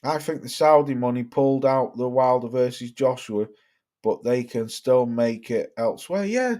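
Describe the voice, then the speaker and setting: A man's voice: medium pitch, animated, normal speed, warm tone. A young man from Cheshire with a mixed Cheshire and Stoke accent, hosting a casual livestream, reading viewer comments and chatting bluntly with plenty of swearing. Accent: Cheshire English mixed with Stoke. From Cheshire, England. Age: young adult. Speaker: livestream host.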